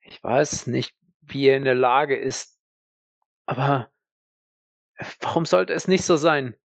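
Guy acting as accused war vet in a radio play, defiant and emphatic, highish voice, dry sound. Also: no room sound, highs cut off. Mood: challenging. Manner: indignant, emphatic, halting when pressed. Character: defiant accused male dream-jumper, proud war veteran. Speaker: voice actor in audio drama. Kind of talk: dramatic audio play acting.

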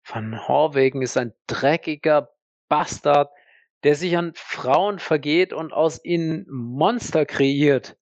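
A man's voice: on the high side, no echo, lo-fi sound. A man playing a proud, defiant accused war veteran in an audio drama, indignant and emphatic. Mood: defensive, tense. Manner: indignant, emphatic, halting when pressed. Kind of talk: dramatic audio play acting.

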